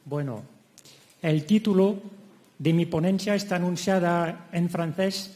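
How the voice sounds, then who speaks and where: French-accented middle-aged male lecturer, high voice, mellow tone, measured reading. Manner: measured, careful reading with occasional self-corrections. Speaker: conference speaker. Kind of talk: academic lecture read from a text. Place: lecture hall.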